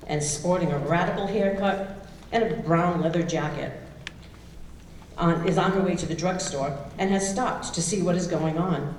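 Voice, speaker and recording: A woman's voice: fairly low pitch, darkish tone. A woman reading stage directions as narrator at a live staged reading. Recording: roomy, distant mic, clear noise.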